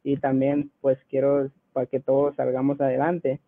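A man's voice: mid-range voice, completely flat delivery, moderate pace, phone-quality sound. A man in his twenties with a Guatemalan accent, telling his personal story casually as an interview guest. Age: 20s.

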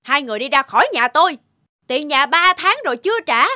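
A woman's voice: high-pitched, expressive, brisk, pushed and bright, dry recording, studio quality. A woman playing a fed-up stout landlady in a cartoon, harsh, unyielding and scolding. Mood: angry. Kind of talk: dubbed cartoon acting.

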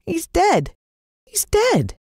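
A woman's voice: extremely high pitch, wildly swooping pitch, very slow, darkish tone, no room sound, clean studio sound, pitched above the performer's own voice, heavily acted. A woman voicing a distraught, forlorn young boy in an audiobook story. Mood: shocked grief. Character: distraught young boy mourning his dog.

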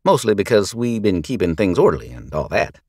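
A man's voice: low-pitched, big pitch swings, a little fast, a little mellow, husky, no room sound, studio quality, pitched above the performer's own voice, heavily acted. A man doing a gangly sneering gang leader. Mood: mocking. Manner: taunting, laughing, showy.

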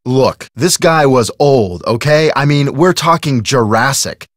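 A man plays an eager young American amateur detective in a video game. He talks earnestly and conversationally with a teasing edge, at a medium pitch, in a very dry, close sound. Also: animated, a little slow, no echo, natural voice. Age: young adult.

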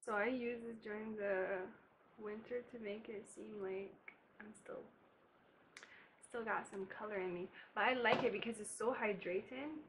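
A woman's voice: medium pitch, fairly even intonation, normal speed, noisy background. A young woman from Barbados, chatting casually with laughing asides as she does her makeup in a bedroom. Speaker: beauty vlogger. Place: bedroom, indoors.

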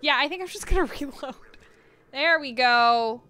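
A woman's voice: sits high, moderate pace, pushed and bright. A young woman live streaming a video game with casual, giggly commentary and some easy swearing.

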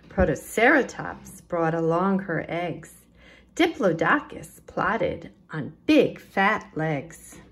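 A woman with a slightly low voice reads a picture book to children. Her pitch moves in lively swings and she takes her time over each line. She phrases expressively, stressing rhyme and rhythm like a practised storytime librarian.

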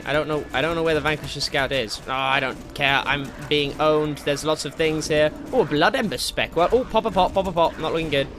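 A man giving casual, excitable commentary while playing a video game, jokey and slangy, with exclamations and swearing. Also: a little high, slight background noise. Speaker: gaming video host. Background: game music.

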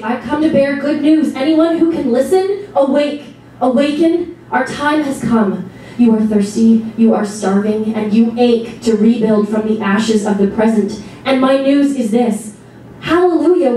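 Woman acting a fervent prophetess, high and echoing. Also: unhurried, warm tone, a little hiss or hum, lightly acted. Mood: urgent, exhorting. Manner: declamatory, urgent proclaiming. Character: fervent female visionary proclaiming a prophecy. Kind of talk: dramatic stage reading. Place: small theatre stage with audience. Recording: echoey stage recording from far off.

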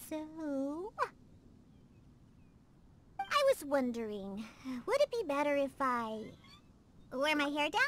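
A woman's voice: extremely high pitch, fairly bright tone, a little hiss or hum, exaggerated voice. A woman playing a proud, prickly young cat-girl bartender in a video game, huffy and haughty, hesitant when she wants something. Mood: shy, defensive. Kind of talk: English video game voice acting.

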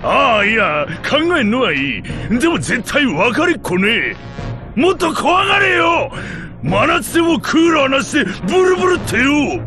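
An actor playing a hulking, boastful, dim-witted alien thug boss in a video game, with rough gangster talk and blustering threats. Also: male voice, extremely high pitch, sing-song, moderate pace, shouting, exaggerated voice. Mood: menacing, blustering. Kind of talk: video game cutscene acting. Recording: music behind the voice.

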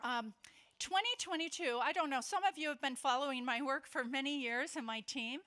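An older woman speaks from a convention stage with a high, bright voice. She is earnest and emphatic, and she slows down to land her key points. Her tone is lively and clear. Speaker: keynote speaker. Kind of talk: conference speech. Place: convention hall.

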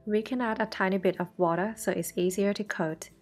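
A woman from Thailand narrates a home cooking lesson in voice-over, explaining each step in a friendly, reassuring way. Her voice sits at an ordinary middle pitch, and soft music plays faintly underneath. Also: fairly even intonation, fairly quick. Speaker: cooking show host.

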